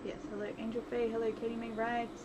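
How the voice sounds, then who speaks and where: A woman's voice: fairly high pitch, little pitch movement, fast. A woman livestream host chatting casually while she works, rambling and often trailing off mid-thought. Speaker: livestream host, visual novel developer. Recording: loud background noise, amateur livestream.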